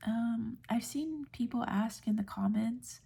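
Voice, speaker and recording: Woman, late twenties, American accent. Hushed, whispery ASMR delivery with creaky fry and a relaxed tone, gentle and informal. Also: fairly high pitch, measured pace. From United States. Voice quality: soft, whispery, breathy. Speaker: ASMR video creator. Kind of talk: soft-spoken ASMR rambling.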